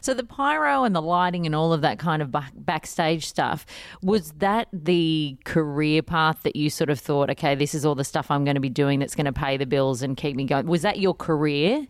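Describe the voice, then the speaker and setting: Low-voiced woman interviewer. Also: moderate pace, no room sound, studio quality. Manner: curious, encouraging questioner. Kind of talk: conversational interview.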